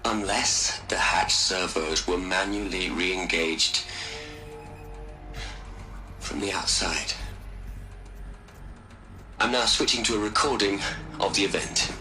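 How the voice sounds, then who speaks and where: Low-voiced, somber scientist. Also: male voice, slow pace, pauses often, music in the background. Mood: somber, weary. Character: somber, earnest middle-aged male scientist recording a log. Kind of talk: video game log narration.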